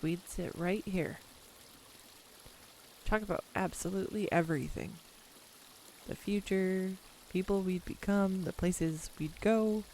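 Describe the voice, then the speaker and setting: A woman's voice: mid-range voice, dark tone, slight background noise, close to an everyday voice, low-quality recording. A young woman acting a tender, nervous childhood friend come home, in an intimate, hesitant audio roleplay monologue. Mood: fond, nostalgic. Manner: hesitant, reflective, confiding, with pauses. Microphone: close USB desktop microphone.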